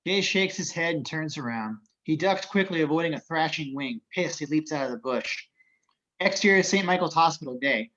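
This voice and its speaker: A man's voice: on the high side, animated, moderate pace, a bit bright, dull audio. A man narrating the action of a script as reader of stage directions in an amateur table reading.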